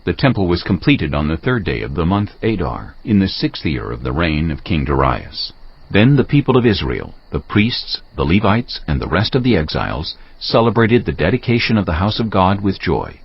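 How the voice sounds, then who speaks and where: A man with a gravelly, husky voice narrating scripture from a script in a formal storytelling manner. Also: sits low, sing-song, normal speed, no room sound. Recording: slightly dull, lo-fi audio.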